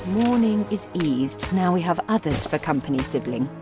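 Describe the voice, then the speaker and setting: A woman playing a proud, sharp-tongued, brisk young gentlewoman in a video game, speaking in a crisp, formal manner. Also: fairly low pitch, animated, music in the background, natural voice. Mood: determined, wistful. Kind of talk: video game character dialogue.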